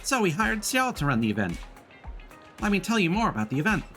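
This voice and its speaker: Man putting on a high, chirpy little shop helper. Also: sing-song, fairly quick, sharp tone, soft background music, heavily acted. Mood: chirpy, eager.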